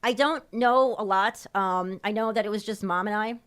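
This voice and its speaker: A woman's voice: mid-range voice, moderate pace, a bit bright, smooth. A woman with a Chicago accent, a guest in a casual conversation, speaking in an easygoing, chatty way.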